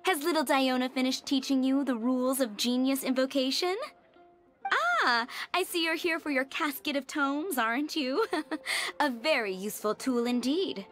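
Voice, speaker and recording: Casting: gracious game tavern owner, high voice, lilting and animated, chatty and polite. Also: female voice, normal speed. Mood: genial. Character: genial, gracious tavern proprietress, poised adult woman. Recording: background music.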